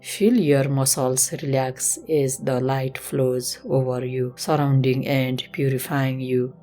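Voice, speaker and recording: Very low-voiced woman guiding meditation. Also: fairly even intonation. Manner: gentle, measured, instructional. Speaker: yoga and meditation instructor. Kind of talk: slow guided meditation. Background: music.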